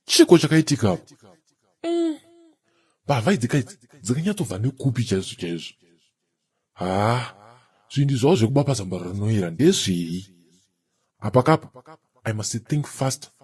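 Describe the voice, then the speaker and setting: A man's voice: medium pitch, huge pitch swings, normal speed, pauses often, darkish tone, slightly rough. A man voicing a flustered, scheming cartoon character. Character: scheming, flustered man puzzling over a theft.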